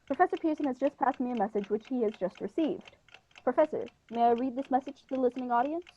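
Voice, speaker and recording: Young woman as a high-pitched earnest radio reporter. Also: quick pace, mellow. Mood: formal, inquisitive. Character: earnest male radio news reporter interviewing live. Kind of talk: scripted radio play acting. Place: living room, video call. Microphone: earphones with inline mic. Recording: dull lo-fi video call audio.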